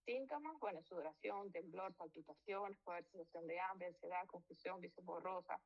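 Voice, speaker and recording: Woman doctor from venezuela teaching a med class, gravelly voice, kinda muffled lo-fi sound, lots of eh's and fixing herself. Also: lowish voice, fairly quick. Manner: informal, with fillers and self-corrections. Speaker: physician lecturer. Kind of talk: medical lecture.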